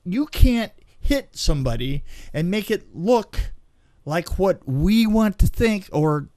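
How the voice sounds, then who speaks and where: A man in casual chat, telling anecdotes in a rambling, animated way. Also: high voice, slow, no room sound. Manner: rambling storyteller, acts out sound effects.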